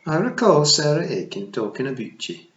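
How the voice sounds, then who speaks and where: A man's voice: on the high side, very animated, moderate pace, slight background noise, voice pushed up. A man doing a tiny old Scottish pixie matriarch. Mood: commanding. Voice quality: broad Scots burr. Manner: warm, teasing and commanding. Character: tiny old dying female pixie chieftain, shrewd and wry. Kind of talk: storybook reading. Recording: roomy, slightly dull recording.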